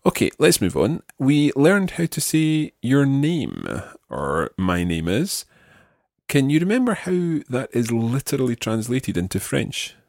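A man's voice: mid-range voice, big pitch swings, unhurried, darkish tone, dry recording, studio quality. A man teaching a language lesson, patiently prompting and carefully repeating phrases. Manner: patient, instructive, carefully enunciated.

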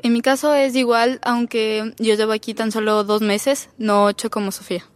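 A teenage exchange student from Ecuador with an Ecuadorian accent, answering thoughtfully and pausing with fillers as she searches for words. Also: on the high side, flat delivery, dry recording. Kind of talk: casual chat.

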